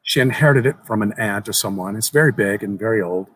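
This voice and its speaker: A man's voice: fairly low pitch, quick pace, slight background noise, close to an everyday voice. A man playing a skeptical, curious young man who humors and questions, slightly uneasy, in a horror story reading. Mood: easygoing.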